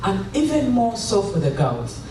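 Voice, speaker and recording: Woman panelist, expressive intonation, picked up from a distance. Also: mid-range voice, normal speed. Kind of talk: panel talk. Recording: echoey hall, distant mic, noisy.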